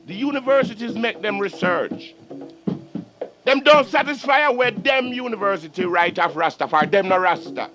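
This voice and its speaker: A man's voice: high-pitched, expressive, normal speed, highs cut off. A Rastafarian man with a Caribbean accent, holding forth in impassioned patois oratory on an archival tape recording. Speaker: Rastafarian speaker. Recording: archival reel-to-reel tape, music behind.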